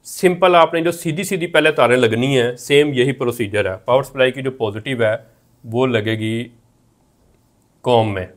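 A man in his thirties with a Pakistani accent, teaching methodically as a technical instructor and repeating each step. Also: medium pitch. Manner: repetitive, methodical explaining. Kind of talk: instructional tutorial, explaining step by step. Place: indoor room at a whiteboard.

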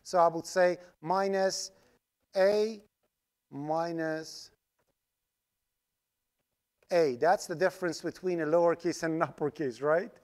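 A man's voice: on the high side, slow pace, long pauses, darkish tone. A man whose first language is Farsi leads a live coding lecture, talking through each step as he types. He keeps checking in with the class and explains in a patient, step-by-step way, recorded over his screen capture. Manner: explains step by step while typing code, asks "right?" often. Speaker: programming instructor. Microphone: screen recording with voice-over mic.

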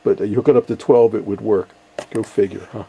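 A man's voice: on the low side, fairly even intonation, brisk, creaky, mellow, husky, low-quality recording. An older man giving a casual, easygoing show-and-tell in his workshop, talking in a rambling, hesitant way. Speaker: ham radio hobbyist, video host. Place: cluttered indoor basement workshop.

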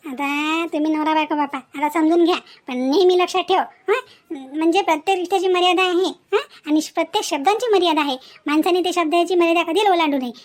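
A woman's voice: very high voice, quick pace. A woman voicing a moralizing, chatty village aunt in a cartoon story, with a Vidarbha Marathi accent and an emphatic, repetitive way of advising. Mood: firm advising. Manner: preachy, emphatic, repeats herself with tag words.

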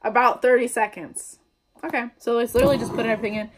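Young female vlogger, higher pitch, casual slangy patter, recorded at home on the camera mic. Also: fairly even intonation, fast, noisy background. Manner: chatty, upbeat, slangy. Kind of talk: casual baking vlog. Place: indoors at home, kitchen table before a wallpapered wall.

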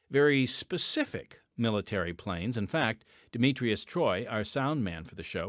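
A man's voice: fairly low pitch, dry recording. A man hosting a podcast in a friendly, chatty manner, reading announcements from a studio mic. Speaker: podcast host. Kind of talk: podcast host segment and announcements.

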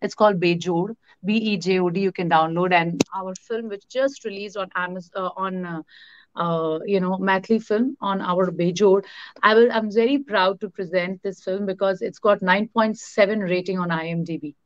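A woman from Bihar with an Indian English accent, a native Bhojpuri speaker, talking as an interview guest. She talks rapidly with many fillers and mixes in Hindi. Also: mid-range voice, dry recording. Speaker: interview guest, actress and producer. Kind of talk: casual interview talk. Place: indoor room at night, on a video call. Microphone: laptop or phone camera mic on a video call. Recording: dull, dry, lo-fi video call audio.

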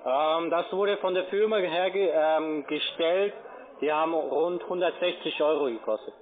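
High-voiced salesman on a noisy phone line. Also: a little slow. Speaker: call centre sales agent. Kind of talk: casual telephone sales pitch. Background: loud music and crowd chatter.

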